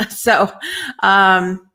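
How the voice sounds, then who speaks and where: A woman hosting a live podcast, talking in a casual, candid way with lots of ums and restarts, her voice hoarse and lower than usual. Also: pushed and bright. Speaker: podcast host. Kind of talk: casual live-show chat.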